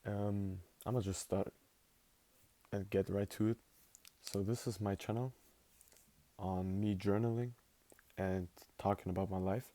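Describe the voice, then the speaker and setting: A man's voice: on the low side, fairly even intonation, a little slow, long pauses, warm tone. An eighteen-year-old man for whom English is not his first language, rambling casually through a spoken journal with lots of fillers. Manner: rambling, filler-heavy, laid-back. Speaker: vlogger.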